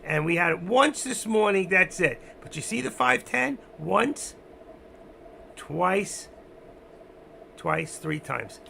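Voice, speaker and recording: A man hosting a casual trading livestream, rambling to himself and his chat with a hoarse voice. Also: high-pitched, animated, takes it slowly, long pauses, sharp tone, a little hiss or hum. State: losing his voice. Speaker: stock trading livestream host. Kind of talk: casual live market commentary.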